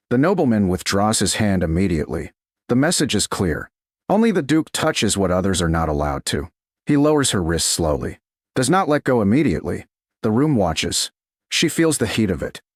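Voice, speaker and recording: A low-pitched man narrates a romantic tale in a deliberate, measured manner. His pitch moves expressively through short dramatic phrases. The recording is close and dry, with no room sound. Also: very animated, dry recording. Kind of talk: scripted narration of a romance story.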